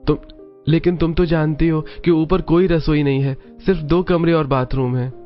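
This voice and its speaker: Fields: Figure: a man voicing a gentle young husband. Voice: slightly high. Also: steady tone, normal speed, lightly acted. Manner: soft-spoken, placating. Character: young office-worker husband, gentle and resigned. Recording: dull lo-fi with faint music.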